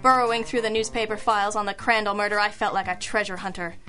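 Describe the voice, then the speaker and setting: A woman's voice: mid-range voice, little pitch movement, fast, bright, forceful tone, close to an everyday voice. A woman playing a wry, self-assured girl detective, narrating an old-school radio mystery in a dry, wisecracking manner. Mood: wry, brisk. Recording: music under the voice.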